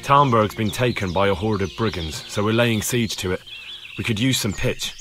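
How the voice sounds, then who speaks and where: A man's voice: fairly low pitch, moderate pace, over music, close to an everyday voice. A man playing an earnest young squire in a medieval video game. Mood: earnest, businesslike. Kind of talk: video game dialogue acting.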